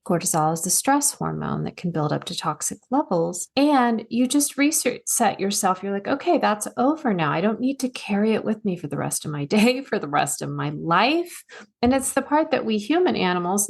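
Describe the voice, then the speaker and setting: A soft-spoken woman speaking as a guest coach in a casual podcast conversation, telling stories with little acted asides. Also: mid-range voice, vocal fry.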